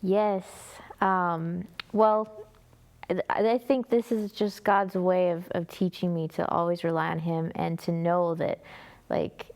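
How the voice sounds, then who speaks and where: A woman's voice: lowish voice, steady tone, takes it slowly, a little mellow. A young woman with a North American accent, telling a personal testimony as an interview guest in an earnest, conversational way. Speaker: guest, music ministry singer.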